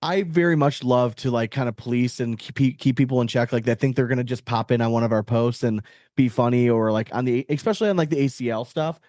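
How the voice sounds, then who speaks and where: A man's voice: mid-range voice, fast talker, darkish tone, dry recording, studio quality. A man co-hosting a podcast in casual banter, swearing freely and airing blunt opinions. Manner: profane, opinionated. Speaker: podcast co-host.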